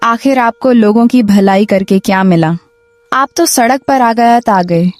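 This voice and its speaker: A woman's voice: fairly high pitch, a little slow, a little mellow, no room sound, natural voice. A woman playing a poor farmer's worried, reproachful wife in a cartoon moral story, plaintive and questioning.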